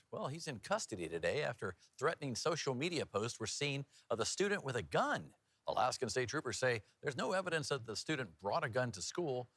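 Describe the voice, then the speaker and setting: Local news anchor, male, American. Animated, swinging intonation, mid-range voice, upbeat with easy banter. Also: mid-range voice, no room sound. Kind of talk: local TV newscast reading with casual banter.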